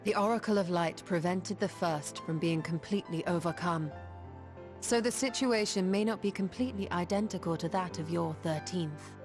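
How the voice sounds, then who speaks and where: Learned female adventurer woman acting for fantasy game drama. Composed, articulate, formal explainer, lower register, music underneath. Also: moderate pace, natural voice. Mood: earnest, reasoned.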